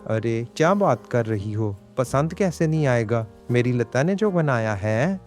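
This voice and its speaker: A man voicing an earnest, humble village farmer and husband in a Hindi cartoon story, with expressive delivery. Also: mid-range voice, unhurried, close to an everyday voice. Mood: cheerful, eager. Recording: background music under the voice.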